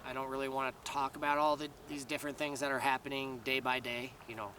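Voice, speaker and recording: A man rambling casually to camera in a vlog, full of fillers and restarts, his voice slightly raspy. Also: medium pitch, flat delivery, fast, pushed and bright, far from the mic, slight background noise. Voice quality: slightly raspy after long talking. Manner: meandering, many fillers and restarts. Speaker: vlogger.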